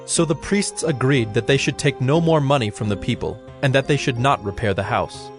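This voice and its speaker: A man's voice: mid-range voice, moderate pace. Solemn male scripture narrator over faint music.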